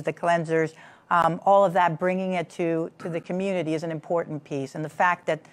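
A woman in her sixties, a city health official on a studio panel, speaking in a measured, deliberate way with frequent ums. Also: deep voice. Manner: measured, deliberate, filler-laden. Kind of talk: panel discussion. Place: studio stage with curtain backdrop.

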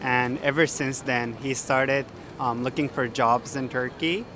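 Relaxed young man over street noise. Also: medium pitch, a little slow. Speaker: interviewee. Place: outdoors on a busy city street at night. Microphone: handheld mic.

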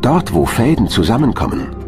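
Very deep-voiced male documentary narrator. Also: sing-song, normal speed. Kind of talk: scripted science documentary narration. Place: studio voice-over. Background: background music.

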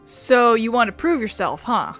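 A woman's voice: a little high, big pitch swings, moderate pace, a bit bright. Rambling female gamer over faint music. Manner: rambling, self-deprecating. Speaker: video game streamer. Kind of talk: casual let's-play commentary, reading choices aloud.

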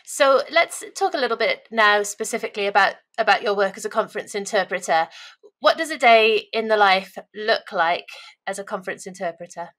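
Host in her thirties, bright forceful voice, brief prompts, headset on a video call. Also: mid-range voice, animated, brisk. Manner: short prompts and brief acknowledgements. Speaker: interview host. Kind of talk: casual interview over video call. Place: small room indoors.

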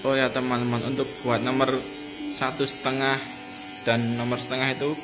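A man's voice: medium pitch, monotone, moderate pace, noisy background. A young man, casually narrating a barbershop tutorial and chatting with viewers. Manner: chatty, addresses viewers as friends. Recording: music playing behind the voice.

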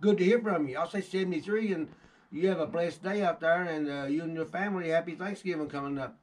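Higher-pitched man, outdoors. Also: quick pace. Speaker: amateur radio operator. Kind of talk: casual ham radio conversation. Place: outdoors on a covered cabin porch. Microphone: camera a few feet away.

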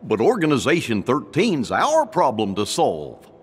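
A man's voice: medium pitch, huge pitch swings, unhurried, slightly rough, heavily acted. A man voicing a good-natured, simple, lanky cartoon dog in a video game. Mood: helpful. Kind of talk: animated video game dialogue.

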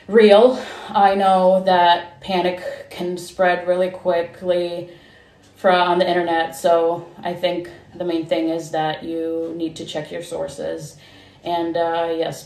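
A young woman from Ukraine talks into a phone held at arm's length. Her voice sits low and flat as she speaks in an earnest, shaken way, pausing and restarting often. The room echoes around her, and a faint hiss runs underneath. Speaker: vlogger. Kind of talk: selfie video diary. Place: small room indoors, street outside for some clips.

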